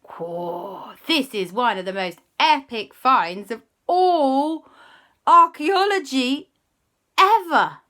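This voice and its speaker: A woman's voice: high-pitched, animated, takes it slowly, pitched above the performer's own voice, exaggerated voice. A woman voicing an eager young boy who gushes with wonder about dinosaur finds. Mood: awestruck. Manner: hushed whisper turning to eager gushing.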